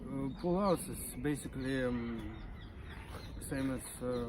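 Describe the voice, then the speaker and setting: A man's voice: mid-range voice, a little slow. A man in his forties with a Russian accent, speaking casually as an interview guest and stuttering with blocks and repeated sounds. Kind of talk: casual conversation. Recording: noisy outdoor recording with birdsong.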